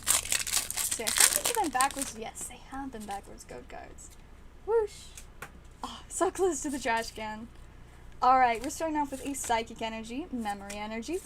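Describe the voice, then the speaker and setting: A woman hosting a card-opening livestream, chatting casually and excitedly, her voice slightly raspy and strained. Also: high-pitched, heavy background noise. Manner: chatty and excitable, with frequent laughs. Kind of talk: casual livestream chat while opening packs.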